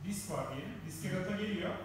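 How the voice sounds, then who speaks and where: A man's voice: sits high, slight background noise. A man in the audience of a technical meetup, sharing his production experience and correcting others firmly. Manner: firm, quick corrections. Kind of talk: discussion from the audience. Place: large hotel meeting room. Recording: echoey room from far off.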